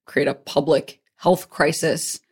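Woman interview host, rambling, filler-heavy, low-middle pitch. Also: fairly even intonation, takes it slowly, breathy edge. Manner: rambling, hedging, many fillers. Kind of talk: casual interview chat.

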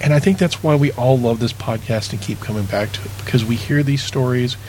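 A man's voice: mid-range voice, a little fast, a little mellow, poor audio. A man recording an earnest, sincere personal message as a listener of a show. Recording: faint background room noise.

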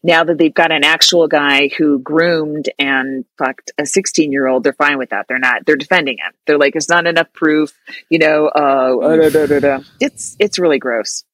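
Female comic co-host, deep voice, lively storyteller, quick to laugh, dry close mic. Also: no echo. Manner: laughs often, animated storytelling. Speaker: comedian podcast co-host. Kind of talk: casual chat.

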